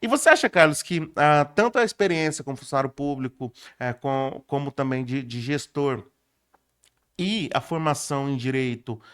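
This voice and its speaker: A Brazilian man hosting a conversational podcast interview, feeling his way into roundabout questions with pauses. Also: medium pitch, animated, a bit bright, clear, resonant tone.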